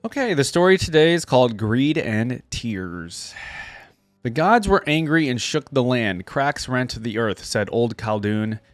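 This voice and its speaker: Man with lively pitch, reacting and reading lore. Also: unhurried, no echo, faint music behind. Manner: informal, conversational asides. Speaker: reaction video host reading game lore aloud. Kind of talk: casual reaction commentary mixed with story read-aloud.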